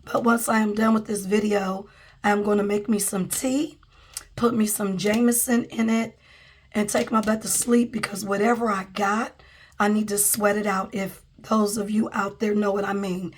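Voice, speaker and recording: Hoarse woman with a cold vlogging. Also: medium pitch, level tone, normal speed. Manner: chatty, addresses viewers as guys and y'all. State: hoarse, losing her voice from a cold. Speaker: budgeting vlogger. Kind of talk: casual vlog chat. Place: indoors at a table, camera overhead.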